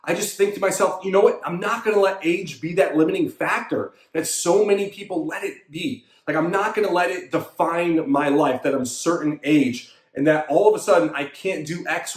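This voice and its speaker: A man's voice: fairly high pitch, echoey room. A man in his thirties, a fitness coach and show host, delivering an emphatic, repetitive motivational monologue to his listeners. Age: 30s.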